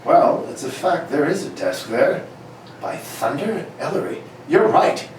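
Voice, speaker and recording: A man playing a gruff, exasperated older police inspector in an amateur comedic radio play, blustery and halting as he reads. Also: on the high side, sing-song. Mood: blustery, indignant. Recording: echoey room, distant mics, noisy.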